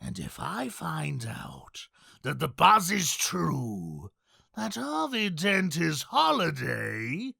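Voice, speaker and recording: A gravelly, manic clown villain. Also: male voice, fairly high pitch, wildly swooping pitch, pushed and bright, no room sound, clean studio sound, full character voice. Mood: gleeful threatening. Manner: taunting, singsong, drawn-out delivery. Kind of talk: dramatized comic book villain acting.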